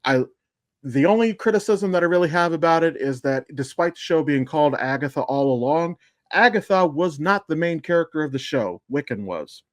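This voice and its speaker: Higher-pitched man giving casual podcast commentary. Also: animated, brisk, dry recording, clean studio sound. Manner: emphatic, explanatory, casually profane. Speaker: podcast co-host.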